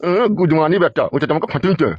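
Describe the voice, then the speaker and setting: A man's voice: fairly high pitch, animated, rapid-fire, breathy edge, no echo. A man playing a foul-mouthed, quarrelsome older village father-in-law in a comic cartoon, scolding and cursing. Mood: angry scolding. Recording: dull lo-fi dubbed audio.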